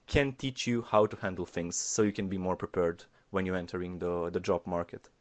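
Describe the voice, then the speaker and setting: A man's voice: low-pitched, steady tone, brisk. A man speaking as a podcast guest, explaining things in a casual way and addressing the listeners directly. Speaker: podcast guest, former mentee and coordinator.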